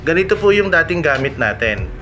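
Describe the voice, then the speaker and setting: A middle-aged man with a Filipino accent, chatting to viewers in a casual vlog full of shout-outs. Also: fairly high pitch, very animated, fairly quick. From Philippines. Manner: chatty, often addressing viewers. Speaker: motovlogger host. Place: vlogging in an intercom accessory shop. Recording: loud music behind the voice.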